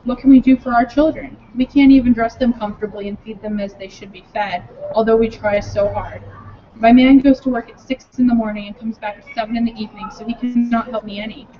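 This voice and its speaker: A woman at a filibuster, reading letters aloud in an earnest, measured way. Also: fairly high pitch, steady tone, slight background noise. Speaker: speaker at a filibuster. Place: state capitol building. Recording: dull lo-fi recording.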